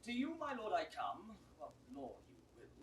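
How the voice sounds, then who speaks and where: An actor playing a stern noble messenger in a Shakespearean audio drama, formal and challenging with a British accent. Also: male voice, high voice, huge pitch swings, normal speed. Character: stern, urgent noble messenger of an English regent. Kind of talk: classical Shakespearean stage acting. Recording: distant, echoing, hissy 1960s recording.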